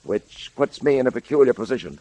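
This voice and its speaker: An actor playing a principled, determined police detective in a measured, firm way, in 1930s radio drama style. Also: male voice, mid-range voice, big pitch swings, dark tone, no room sound, low-quality recording. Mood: firm, grave. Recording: vintage 1930s radio recording.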